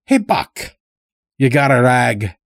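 A man voicing a stout, sly old farmer in an audiobook story, with a folksy drawl and unhurried, dragged-out words. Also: medium pitch, sing-song, creaky, a bit bright, no echo, studio quality, pitched above the performer's own voice, exaggerated voice. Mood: sly, easygoing.

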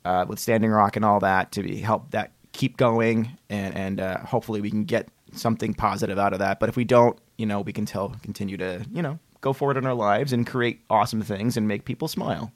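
Low-voiced man, close dry sound. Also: fairly even intonation, brisk, hardly any pauses, dry recording. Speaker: podcast host. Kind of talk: casual chat.

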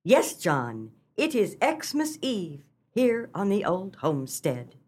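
An actress playing a long-suffering, pious farm wife, earnest and pleading, in a comic radio melodrama. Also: female voice, medium pitch, big pitch swings, slow, a little mellow, slightly rough, heavily acted. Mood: resigned.

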